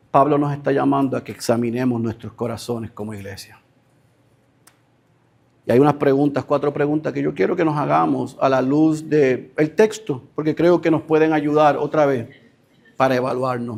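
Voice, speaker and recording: A man preaching a sermon as pastor, acting out imagined conversations with animated emphasis. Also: on the high side, gravelly.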